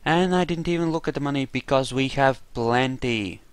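A man with a clear, smooth, mid-pitched voice talks through a video game. He muses aloud casually, recorded dry and close. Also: normal speed, no room sound. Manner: musing, thinking aloud. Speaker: gamer playing a role-play playthrough. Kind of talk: casual gameplay commentary.

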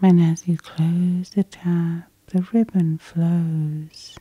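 Female hypnosis guide. Hushed, breathy and unhurried, soothing delivery, very dry intimate sound. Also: fairly low pitch, flat delivery, no echo. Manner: soft, soothing, slow breathy half-whisper. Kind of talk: whispered sleep hypnosis, ASMR guided meditation.

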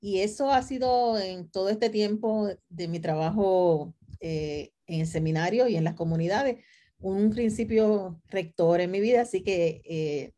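A woman's voice: medium pitch, unhurried, dark tone. A middle-aged woman with a Puerto Rican accent, talking conversationally on a video call and often checking in with tag questions. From Puerto Rico. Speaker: theology professor, panelist. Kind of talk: conversational self-introduction. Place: indoor room with bookshelves, video call. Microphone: computer mic on a video call.

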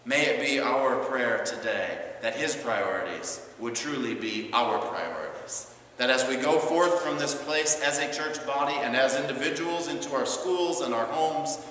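Male pastor, 30s, sermon delivery in emphatic, deliberate phrases; very reverberant church, faint noise. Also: mid-range voice, animated, a bit bright. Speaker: Lutheran pastor. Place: large church, preaching from a pulpit.

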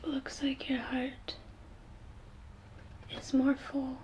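A young woman whispering a gentle, soothing ASMR role play, reassuring the listener up close in a small room. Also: high voice, monotone, drawn-out words, noisy background. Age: young adult.

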